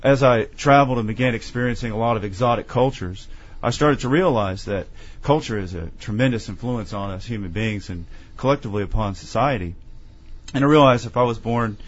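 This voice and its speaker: A man from Tennessee, an author being interviewed, telling his story in a measured, earnest way. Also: mid-range voice, highs cut off. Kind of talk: conversational interview.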